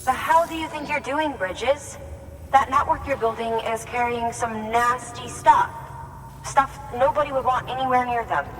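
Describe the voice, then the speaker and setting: A woman's voice: on the high side, moderate pace, close to an everyday voice. An actress playing a stern, defiant woman in charge of a city, curt and sarcastic, in a dramatic video game scene. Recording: roomy long reverb, distant, faint noise.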